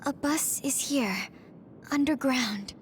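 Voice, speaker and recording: A woman voicing a quiet, dreamy young psychic girl in a video game, speaking in short, halting phrases. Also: high-pitched, slight background noise. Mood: pensive. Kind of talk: video game cutscene voice acting.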